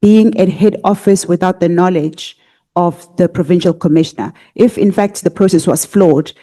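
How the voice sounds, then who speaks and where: Measured woman questioning a witness. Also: lowish voice, dark tone. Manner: measured, methodical, with frequent fillers. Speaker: evidence leader questioning a witness. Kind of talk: formal questioning at a hearing. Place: parliamentary committee room.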